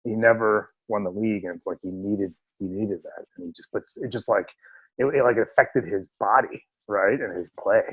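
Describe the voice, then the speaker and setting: A man's voice: lowish voice, moderate pace, husky, dry recording, poor audio. A man hosting a podcast remotely, chatting and joking with lots of fillers. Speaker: podcast host. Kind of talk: casual chat. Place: remote home setup.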